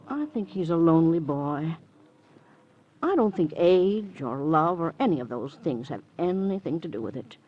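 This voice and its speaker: A woman's voice: low voice, sing-song, normal speed. An actress playing a chatty, gossipy female neighbor with a hesitant, confiding way of talking, in 1950s radio drama style. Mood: confiding, insinuating. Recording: muffled, hissy old radio recording.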